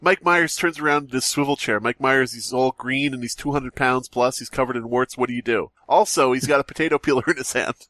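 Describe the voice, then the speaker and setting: A man's voice: mid-range voice, fairly quick, few pauses, pushed and bright, no echo. A man co-hosting a comedy podcast, reading a silly horror story aloud with mock-dramatic flair. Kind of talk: casual comedic podcast chat.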